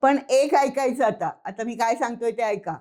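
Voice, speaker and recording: A woman's voice: on the high side, moderate pace, darkish tone, close to an everyday voice. A woman voicing a wry father in a children's story, teasing and placating in an everyday voice. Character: wry, calm father who steps in.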